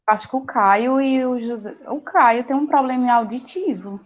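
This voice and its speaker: A woman teaching a science class online, giving firm, brisk directions with affectionate nicknames over a video call. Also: on the high side. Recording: dull lo-fi call audio.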